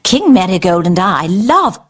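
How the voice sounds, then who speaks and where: A woman's voice: fairly low pitch, very animated, measured pace, breathy edge, exaggerated voice. An actress with a British accent playing a posh, snooty, gushing fairy queen in a children's cartoon. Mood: gracious, gushing. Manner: posh, effusive.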